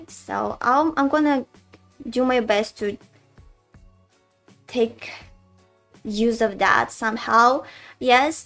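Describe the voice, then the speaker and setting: A woman from Russia with a Russian accent, commentating her own chess games live and chatting to viewers as she thinks aloud. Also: high-pitched. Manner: chatty, addresses chat as guys, thinks aloud. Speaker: chess streamer. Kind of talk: live-stream commentary while playing.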